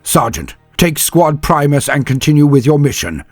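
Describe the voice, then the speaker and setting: A man doing a barking, gruff male officer. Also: fairly high pitch, sing-song, normal speed, a little mellow, no echo, soft background music, pitched above the performer's own voice. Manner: barking, snapping orders. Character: gruff male executive officer, hard-bitten veteran.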